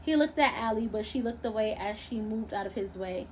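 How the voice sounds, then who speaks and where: A woman reading her own novel aloud as expressive first-person narration. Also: fairly high pitch, brisk, a little hiss or hum, rough recording.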